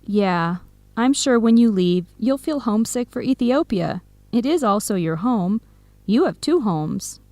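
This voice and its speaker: An outspoken, supportive young woman in an educational radio drama. She speaks clearly and in measured phrases, with a moderately high pitch. The sound is very dry, with no room around it. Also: animated, a little mellow, no echo. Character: supportive, outspoken young American woman friend and eco volunteer.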